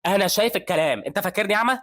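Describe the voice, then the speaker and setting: A shrieking, hot-headed old professor who looks down on everyone and yells in a high strained voice, as an egyptian narrator puts him on. he rattles off his lines at a fast clip. it sounds dry and close to the mic. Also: no echo. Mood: furious. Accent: Egyptian Arabic. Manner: shouting, scornful, shrill. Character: arrogant older male surgery professor, hot-tempered.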